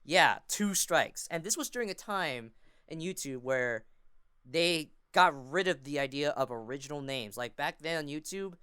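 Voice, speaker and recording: A man's voice: on the high side, lively intonation, normal speed, sharp tone, smooth, no room sound, studio quality. A young man chatting casually as a podcast guest, rambling with a fan's enthusiasm. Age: young adult. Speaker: podcast guest, amateur voice actor and fandubber. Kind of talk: casual chat.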